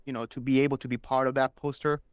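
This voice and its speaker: A man's voice: mid-range voice, level tone, quick pace, clean tone, dry recording, clean studio sound. A man whose first language is Spanish, chatting enthusiastically and casually as a guest telling stories on a podcast. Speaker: interview guest, superfan.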